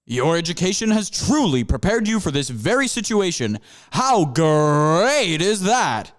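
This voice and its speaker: A man's voice: sits high, big pitch swings, a little slow. A man voicing a pompous panther professor who lectures his students in grandiose, stretched-out phrases. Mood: lecturing. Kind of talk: amateur audio drama.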